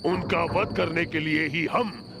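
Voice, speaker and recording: An actor voicing a suspicious, vengeful plotting villain in a children's cartoon, with a theatrical manner. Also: male voice, fairly high pitch, steady tone, slow pace. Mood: pondering.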